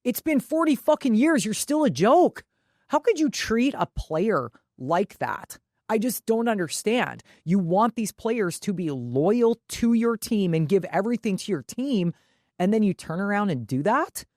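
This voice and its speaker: Female sports podcast co-host, medium pitch, dry recording. Blunt, opinionated, salty-tongued, conversational and indignant. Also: sing-song, measured pace, darkish tone, dry recording. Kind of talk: casual, opinionated sports talk.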